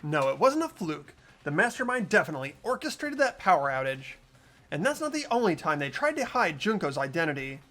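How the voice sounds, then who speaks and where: Man plays determined teen boy sleuth. High voice, animated delivery, pressing accusations. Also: a little fast, faint music behind. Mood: accusing. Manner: insistent, accusatory. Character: earnest, determined teenage boy detective. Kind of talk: amateur video game voice acting.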